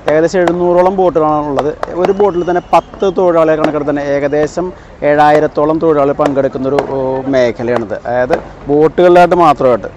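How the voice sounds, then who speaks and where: A man narrating a casual vlog, often halting to search for words. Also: on the high side, muffled recording. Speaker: vlogger. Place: outdoors on a seaside breakwater at night. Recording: noisy outdoor phone recording.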